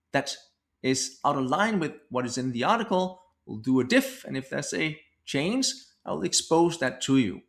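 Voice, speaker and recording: Lively, creaky-voiced man narrating a demo. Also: fairly high pitch. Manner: informal, step-by-step explaining. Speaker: software product demonstrator. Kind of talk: conversational product demo narration.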